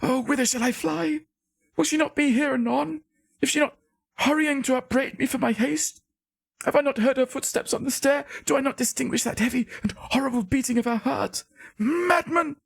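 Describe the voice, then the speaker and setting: A man voicing a terrified, guilt-wracked, half-mad gentleman in a gothic story, frantic and gibbering. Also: very high voice, normal speed, gravelly, voice pushed up. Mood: shrieking hysteria. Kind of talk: dramatic gothic story reading.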